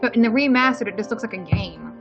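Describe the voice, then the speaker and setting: A fairly high-pitched woman gives casual let's play commentary. She is blunt and opinionated and swears freely. Her mic sounds dull and lo-fi, with game music clearly audible behind her. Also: animated, fast talker.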